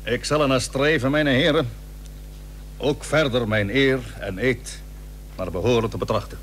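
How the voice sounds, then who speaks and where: An actor playing a blunt, dutiful middle-aged admiral in a period radio drama, speaking earnest, formal, old-fashioned Dutch. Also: male voice, mid-range voice, measured pace, a bit bright, lightly acted. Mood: formal, aggrieved. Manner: plain-spoken, earnest, formal. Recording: humming, noisy old radio recording.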